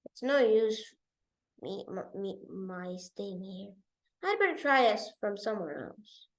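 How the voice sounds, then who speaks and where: A woman voicing a devoted, determined young king in a storybook, speaking in an earnest, gentle manner. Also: mid-range voice, animated, slow, pitched below the performer's own voice. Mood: dejected.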